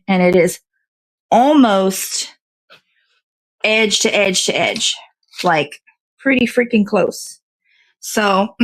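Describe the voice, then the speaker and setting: A woman's voice: mid-range voice, rough recording. A woman, hosting a casual, rambling livestream in a playful, slangy, easygoing way. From American South. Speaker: host of a morning livestream show.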